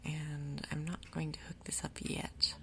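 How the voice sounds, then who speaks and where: A woman gaming host giving soft, whispery ASMR commentary, casually thinking aloud in an unhurried way. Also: low-pitched, little pitch movement. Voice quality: soft, whispery, breathy undertone. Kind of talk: soft-spoken ASMR Minecraft gameplay commentary.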